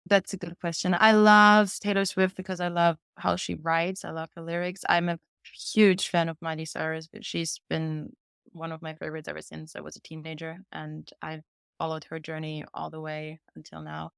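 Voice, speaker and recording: A woman's voice: deep voice, little pitch movement, moderate pace, gravelly, fairly bright tone, smooth, dry recording, studio quality. A woman, answering interview questions in an earnest, candid way and telling her own story. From Vienna, Austria. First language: German. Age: 20s. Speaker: podcast guest, singer-songwriter.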